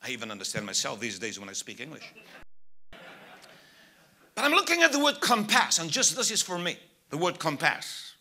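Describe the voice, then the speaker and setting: An older man from South Africa, a native Afrikaans speaker with a South African accent, preaching from a church pulpit, moving from soft asides to loud, emphatic preaching. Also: on the high side, wildly swooping pitch, moderate pace, long pauses, husky. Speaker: evangelist. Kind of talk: sermon. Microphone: pulpit mic, medium camera.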